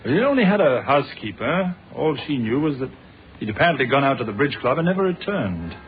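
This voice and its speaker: A man playing an unflappable British police inspector in an old-fashioned radio play. He speaks courteously and evenly, with dry patience, in a mid-pitched voice. The sound is narrow and phone-like. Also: sing-song, lightly acted. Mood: reflective, recounting. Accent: British English. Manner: measured, polite, dryly unflappable.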